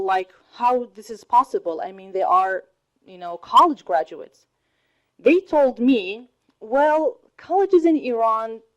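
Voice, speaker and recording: A woman in her thirties whose first language is Arabic, giving a slow, deliberate talk to a college audience and laughing often. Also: mid-range voice, poor audio. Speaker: student speaker. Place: college hall.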